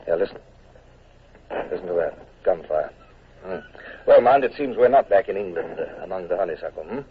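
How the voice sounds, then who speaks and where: An actor playing a clipped, authoritative naval commodore who also narrates, in a vintage radio drama. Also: male voice, sits low, animated, fairly quick. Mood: dry. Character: brisk, commanding male naval commodore. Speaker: narrator and lead. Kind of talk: 1940s radio acting. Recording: tinny old radio broadcast with faint hiss.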